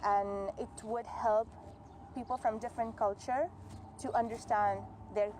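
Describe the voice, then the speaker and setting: A woman's voice: fairly high pitch, fairly even intonation, a little slow, darkish tone, a little hiss or hum. Earnest woman in her twenties. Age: 20s. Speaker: interviewee. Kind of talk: casual interview talk.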